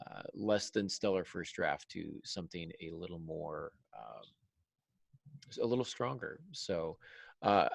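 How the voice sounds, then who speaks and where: Male podcast host, deep with vocal fry, hesitant conversational delivery, dry recording. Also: animated, unhurried, no room sound. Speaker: podcast host, writing teacher. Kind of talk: casual panel discussion.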